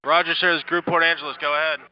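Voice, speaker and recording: A man's voice: on the high side, level tone, rapid-fire, background noise. A man working as a coast guard radio operator, speaking in clipped, formal procedure over VHF radio. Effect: VHF marine radio. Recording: muffled VHF radio transmission.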